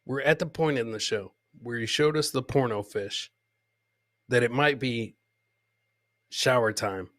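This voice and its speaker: Guy hosting a comedy stream, bright forceful voice kinda high, crude jokey slang, super dry close sound. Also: unhurried, long pauses, dry recording. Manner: repeats phrases for emphasis. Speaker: live comedy podcast host. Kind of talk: casual live clip commentary, chatting with viewers.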